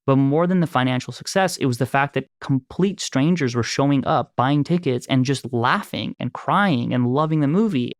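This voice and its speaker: An animated man in his thirties from Los Angeles tells stories about his early filmmaking days with a resonant, smooth voice. His pitch sits in a medium range, and the sound is close and dry. He laughs easily and keeps the conversation light. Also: normal speed, hardly any pauses, no room sound. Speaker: filmmaker and producer. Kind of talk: casual conversation, storytelling.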